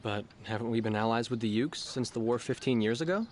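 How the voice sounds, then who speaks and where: An actor playing an earnest, inquisitive man among military pilots, speaking in a measured way for a video game story. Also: mid-range voice, a little mellow, a little hiss or hum, close to an everyday voice. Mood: curious, easygoing. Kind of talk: game narration and cutscene dialogue.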